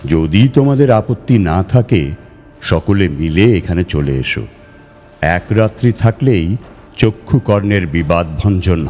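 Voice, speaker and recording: Man reading as a deep-voiced persuasive tall-tale teller. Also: a little mellow. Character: tall-tale-telling man writing a challenging, inviting letter. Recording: long reverb over music.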